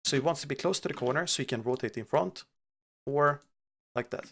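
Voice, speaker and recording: A young man from Sweden with a Swedish accent, casually reviewing a match replay as an analyst. He thinks aloud, pausing often with fillers. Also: mid-range voice, gravelly, a bit bright. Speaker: esports analyst.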